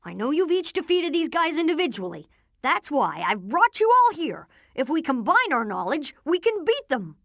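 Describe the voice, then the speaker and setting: A woman voicing an earnest, brainy boy inventor who leads the team, speaking in precise, technical terms in an animated video game. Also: high voice, normal speed, no echo, studio quality, full character voice. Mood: urgent, determined. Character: boy genius inventor, earnest, brainy, take-charge young leader.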